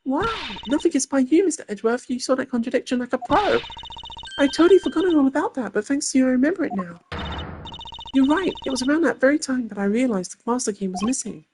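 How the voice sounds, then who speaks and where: A performer voicing an eager, flustered female security guard in a video game, excitable, apologetic and deferential. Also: high-pitched, fast talker, background noise, pitched above the performer's own voice. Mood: admiring.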